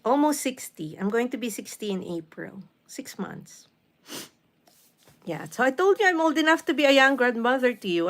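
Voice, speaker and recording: Filipino woman in her late fifties, livestream host. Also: medium pitch. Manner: switches between English and Tagalog. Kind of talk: casual livestream chat, reading and answering viewer comments aloud.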